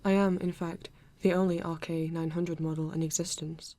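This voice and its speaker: A woman's voice: deep voice, little pitch movement, mellow, close to an everyday voice. A woman playing a male android police detective with a British accent, formal, precise and proud yet earnest, in an ASMR roleplay. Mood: assured, proud. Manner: formal, precise, measured, avoids contractions.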